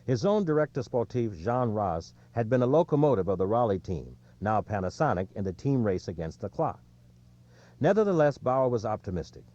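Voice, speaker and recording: A man reading an audiobook in a measured, clearly scripted storytelling delivery, recorded with slightly lo-fi sound. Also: lowish voice, very animated, dark tone. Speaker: audiobook narrator.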